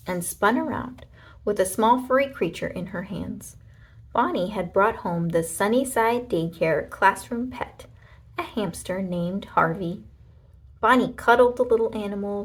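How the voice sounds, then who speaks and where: A woman narrating a children's storybook aloud in an expressive way, with deliberate dramatic emphasis. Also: medium pitch, husky.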